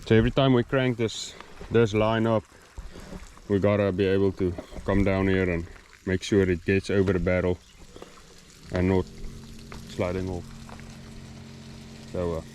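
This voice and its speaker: Man narrating farm chores. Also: low-pitched. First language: Afrikaans. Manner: relaxed, halting with many fillers, explaining as he works. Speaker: farmer. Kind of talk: casual farm vlog walkthrough. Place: outdoors on a farm by irrigation pumps. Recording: dull lo-fi sound with loud outdoor noise.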